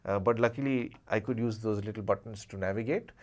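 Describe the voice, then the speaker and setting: A man's voice: fairly low pitch, brisk, darkish tone. A man giving a casual, unscripted product review, hesitating and rephrasing as he goes and repeating points for emphasis. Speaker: tech reviewer, channel host.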